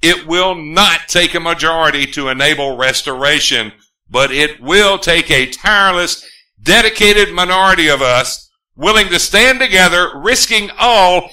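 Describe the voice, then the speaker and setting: A man delivers a written political appeal in a very sharp, bright voice pitched a little high. His pace is slow and deliberate, and his manner is earnest and emphatic, with rhetorical weight on his points. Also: rough recording. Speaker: author reading own political appeal. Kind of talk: scripted political speech, read aloud.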